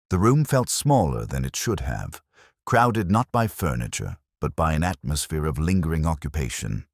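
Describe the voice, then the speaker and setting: A man playing a world-weary, middle-aged English detective, narrating a mystery in a measured, reflective, literary and sombre way. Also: a bass voice, no echo, studio quality, heavily acted. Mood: sombre, watchful. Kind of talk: scripted first-person mystery narration.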